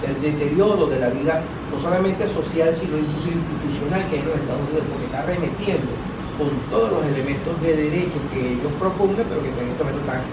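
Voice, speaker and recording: A man's voice: on the high side, quick pace. A Venezuelan man speaking as a political analyst in a roundtable discussion, emphatic and often rephrasing his points. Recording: echoey, distant mic, loud air-conditioning noise.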